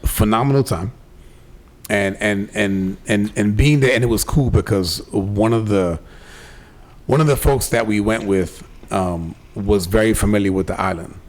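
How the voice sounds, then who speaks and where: Man, fairly low voice, podcast co-host, casual chat, emphatic, slangy, profane. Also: a little slow.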